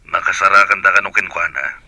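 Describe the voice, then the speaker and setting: A man acting in a radio drama as Arman, a calm and supportive male friend. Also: sits low, brisk, gravelly, exaggerated voice.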